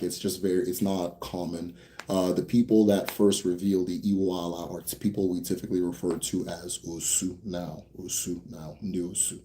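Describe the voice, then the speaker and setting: A young American man hosting a casual Q&A for viewers. He talks bluntly, swears freely, rambles a bit, then slows down to explain. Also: low-pitched, mellow. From United States. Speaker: host answering viewer questions. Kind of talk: casual livestream Q&A.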